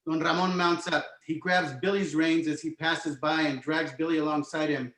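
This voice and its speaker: A man's voice: fairly high pitch, fairly even intonation, normal speed, a bit bright. A man reading stage directions as the narrator of a screenplay table read. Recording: roomy, echoing home recording.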